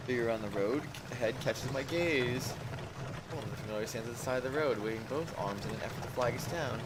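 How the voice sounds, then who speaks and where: A man's voice: medium pitch, a little fast, heavy background noise. A man streamer casually reading a visual novel aloud, slipping in jokey asides. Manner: jokey asides, reads punctuation out as sound words. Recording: music behind the voice. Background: game music.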